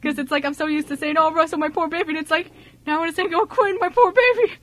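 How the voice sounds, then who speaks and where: A young woman chatting casually on a group show, giggly and excitable, often acting out what people said. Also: very high voice, fast talker. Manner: giggly, excitable, animated quoting of others. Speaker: radio show host and script writer. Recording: faint background noise, amateur radio recording.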